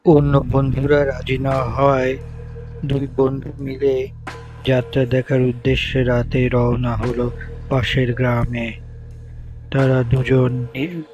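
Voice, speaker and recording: A man's voice: mid-range voice, darkish tone, rough recording. A man narrating a scripted cartoon story as its storyteller.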